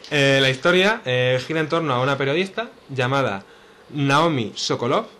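A man's voice: medium pitch, expressive, moderate pace, bright, forceful tone. A man from Spain hosting a casual podcast chat among friends, presenting the topic with hesitant fillers. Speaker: podcast host.

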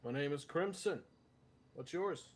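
A man with a somewhat high-pitched voice plays a police officer in an online game. He speaks politely and a bit hesitantly, improvising as he goes. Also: lively intonation, unhurried. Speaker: roleplayer playing a state police officer.

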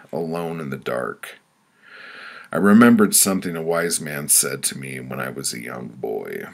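A man reading his own writing as audiobook narration, in short phrases with deliberate pauses. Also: fairly bright tone. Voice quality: very deep, gravelly, husky. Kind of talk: scripted audiobook narration of poetic memoir.

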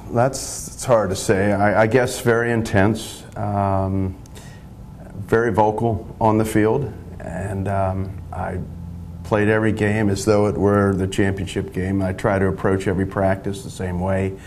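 An older man, a long-serving high school lacrosse coach, answering modestly and with restarts in a TV studio interview on a lapel mic. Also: flat delivery, darkish tone, a little hiss or hum. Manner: modest, measured, stumbling restarts.

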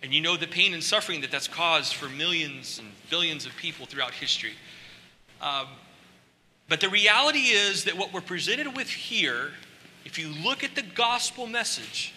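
Man, pastor, sermon, very bright voice, big hall reverb, headset mic. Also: on the high side, moderate pace, slight background noise. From born in Costa Rica. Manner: conversational, animated, with gestures and asides. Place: large church stage.

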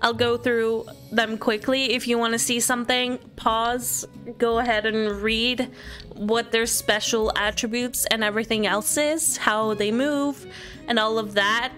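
A woman's voice: fairly high pitch, fairly even intonation, unhurried, fairly bright tone, soft background music. A woman giving a casual walkthrough commentary of a video game. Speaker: gaming video presenter.

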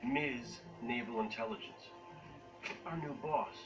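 A gruff, commanding male squad sergeant in a sci-fi video game, played by an actor. He has a mid-pitched voice. It comes from a distant TV speaker with clear music behind. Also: takes it slowly, natural voice. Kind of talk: video game cutscene acting. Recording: TV speakers picked up from far off.

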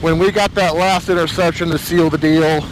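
A hoarse man chats to his camera in a slightly high voice, rambling and emotional, often stopping and restarting his sentences. A faint hiss of background noise sits under him. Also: steady tone, moderate pace. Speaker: motorcycle vlogger. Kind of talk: casual chat to camera.

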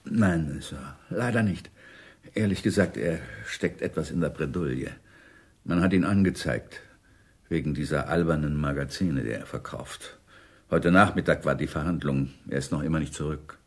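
An actor playing a deferential, chatty old bookseller in a radio drama, obliging and servile. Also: male voice, very deep voice, moderate pace, breathy edge, full character voice.

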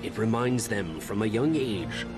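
A man playing a thoughtful storyteller, narrating an animated fable expressively and deliberately. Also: fairly low pitch, loud music behind the voice. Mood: storytelling. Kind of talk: scripted fairy tale narration.

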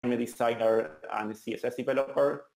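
A man's voice: mid-range voice, steady tone, fast, a little mellow. A man in his thirties from Argentina with an Argentinian accent, giving an earnest, enthusiastic conference talk. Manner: earnest, enthusiastic, some restarts. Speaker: conference speaker. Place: meetup venue hall. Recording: roomy hall sound.

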